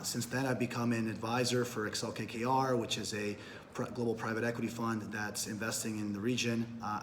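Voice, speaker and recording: A man's voice: lowish voice, slight background noise. A man in his thirties from Peru, an entrepreneur giving a prepared self-introduction in a businesslike way, with occasional fillers and self-corrections. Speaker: camp applicant, entrepreneur.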